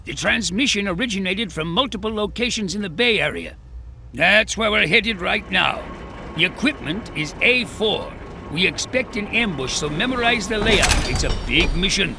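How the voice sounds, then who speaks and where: A man's voice: high voice, expressive, moderate pace, gravelly, over music. A man voicing a stern, demanding section chief in an English anime game dub, giving authoritative, clipped briefings.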